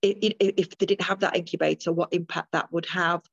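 Low, even-toned older British midwife. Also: female voice, brisk, gravelly, no echo, dull audio. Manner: earnest, many restarts and ums. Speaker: guest midwife. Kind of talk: interview over a call.

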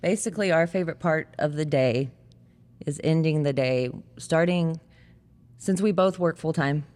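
A woman's voice: low-pitched, normal speed, a little mellow. An American woman in her thirties chatting as a parent, explaining things casually with plenty of "like". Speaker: parent sharing family testimony. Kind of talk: casual conversational testimony. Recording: long reverberant hall echo.

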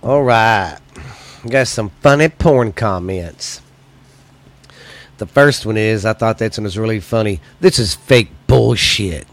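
A man's voice: on the low side, measured pace, pauses often. Stuffy-voiced American man, blunt casual podcaster. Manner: loose, blunt, crude joking delivery. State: slightly weak, stuffy voice from recent illness. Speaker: solo podcast host. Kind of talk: casual, profane comedy chat.

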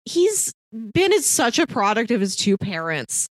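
Higher-voiced woman chatting about film, dry sound. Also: sing-song, unhurried, dry recording. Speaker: podcast co-host. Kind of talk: casual film discussion.

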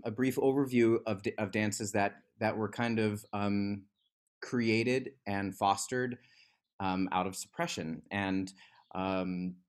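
A man hosting a podcast interview, enthusiastic but halting, with many fillers. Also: on the low side. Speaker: podcast host, dance instructor.

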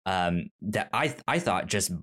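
A man co-hosting a podcast in a casual discussion, talking haltingly and often repeating and stumbling over his words. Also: low-pitched, animated, fairly bright tone. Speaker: podcast co-host. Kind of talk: casual book discussion chat.

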